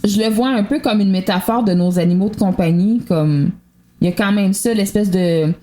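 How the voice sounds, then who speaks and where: A woman's voice: medium pitch, moderate pace, warm tone. A woman with a colloquial Quebec French accent, thinking aloud in a casual podcast discussion as a guest. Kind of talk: casual after-show discussion.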